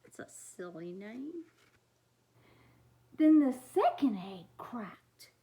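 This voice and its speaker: A woman with a high voice reads a picture book to young children. Her delivery is very slow and full of lively swings, and she steps out of the text to chat straight to the listeners. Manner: expressive, talks directly to young listeners. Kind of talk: animated read-aloud with chatty asides.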